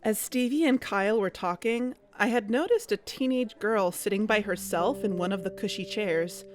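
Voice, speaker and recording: A woman's voice: mid-range voice, normal speed, lightly acted. A woman playing an observant, reflective narrator, telling a scripted first-person audio drama. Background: guitar music.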